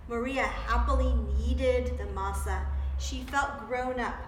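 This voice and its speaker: A woman reading a children's storybook aloud in an animated, dramatic way, pausing to put questions to her young listeners. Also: fairly high pitch. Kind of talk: expressive children's storybook read-aloud with asides. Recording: roomy echo, background noise.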